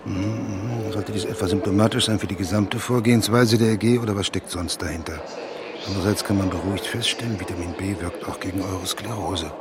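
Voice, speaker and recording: A man's voice: fairly low pitch, fairly quick, lightly acted. An actor in a radio play as a self-satisfied male journalist, muttering to himself and reading aloud. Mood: smug, musing. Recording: loud outdoor street and traffic noise.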